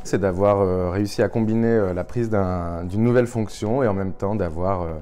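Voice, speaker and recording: A man who works as a programme manager, speaking deliberately and haltingly with fillers in a testimonial interview. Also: sits low, steady tone, warm tone, smooth, soft background music.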